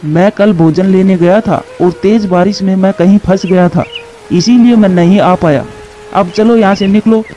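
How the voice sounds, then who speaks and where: A man voicing a gruff, commanding male pigeon householder in a cartoon, on slightly dull audio. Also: high-pitched, normal speed, dark tone, soft background music, natural voice. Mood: scolding. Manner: scolding, commanding.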